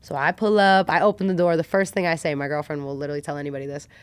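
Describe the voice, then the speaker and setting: Low-pitched woman telling stories casually. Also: racing, clear, resonant tone, no room sound. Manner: animated, slangy, acts out quoted lines. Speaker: podcast guest. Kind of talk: casual personal storytelling in conversation.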